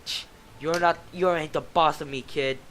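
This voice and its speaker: Cocky teen delinquent, voiced by a man, mouthy, insulting, a bit high, faint hiss. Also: fairly even intonation, normal speed, close to an everyday voice. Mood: insolent. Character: rude, cocky male teenage delinquent student.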